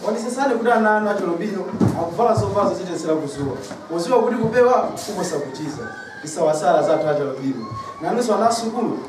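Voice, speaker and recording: Quick, high-voiced young man reciting. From Malawi. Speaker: student reciter. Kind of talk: reading a prepared poem aloud. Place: school classroom, indoors. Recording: echoey classroom, distant mic, background noise.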